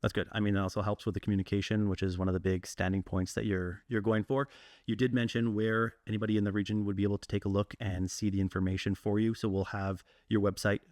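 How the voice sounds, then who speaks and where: A man's voice: fairly low pitch, flat delivery, quick pace, darkish tone, dry recording, clean studio sound. A man hosting a local interview, asking casual questions in an easygoing manner. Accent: Canadian English. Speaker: interviewer, channel host.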